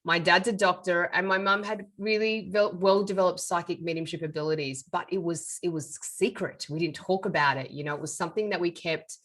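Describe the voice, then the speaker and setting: A woman's voice: on the low side, a bit bright. A middle-aged woman, a medium and author, telling her life story as a guest in an interview in an earnest, reflective way. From Australia. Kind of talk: interview conversation.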